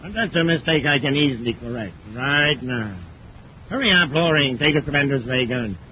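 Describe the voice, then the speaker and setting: An actor playing a forceful man of action in a 1950s space radio drama, with a dramatic delivery. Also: medium pitch, normal speed, lightly acted. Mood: menacing, brisk. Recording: muffled, noisy 1950s radio recording.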